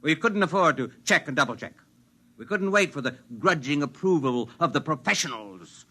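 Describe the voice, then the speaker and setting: A self-important idealist makes his case in 1950s radio drama style. His voice is high and very forceful, swinging widely in pitch as he declaims with zeal and insistence. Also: expressive, normal speed, breathy edge, full character voice. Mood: zealous, grandiose. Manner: fervent, declamatory speechmaking.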